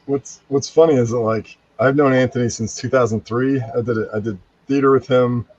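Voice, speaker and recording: A man's voice: medium pitch, steady tone, fairly quick, a little mellow, husky, dry recording, highs cut off. A man guest on a podcast chatting casually and enthusiastically, laughing often as he praises his castmates. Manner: enthusiastic, laughing, repeats "freaking". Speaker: voice actor guest.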